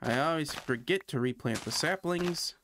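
Chatty Canadian gaming streamer. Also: male voice, fairly high pitch, normal speed, background noise. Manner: chatty, self-deprecating, thinking aloud. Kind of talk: casual gameplay commentary.

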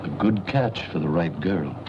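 An older man acting in a 1940s film as a mysterious, elegant stranger who speaks formally, cryptically and with calm menace. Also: low voice, a little slow, background noise. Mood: cryptic, calm. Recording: muffled old film soundtrack, music behind.

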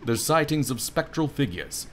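A man's voice: medium pitch, expressive, moderate pace, fairly bright tone, a little hiss or hum, natural voice. A man voicing a polite, earnest and gloomy private of the town guard in a game, deferential and ominous.

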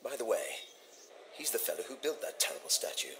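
Low-voiced, uneasy gentleman. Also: big pitch swings, a little fast, noisy background. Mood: recollecting. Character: well-bred, uneasy Victorian gentleman club member. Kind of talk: video game dialogue acting.

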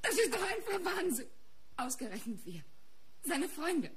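A woman's voice: very high-pitched, big pitch swings, measured pace, heavily acted. A woman playing a frightened young murder suspect in a radio crime play, agitated and pleading. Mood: desperate, indignant. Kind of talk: German radio crime drama acting.

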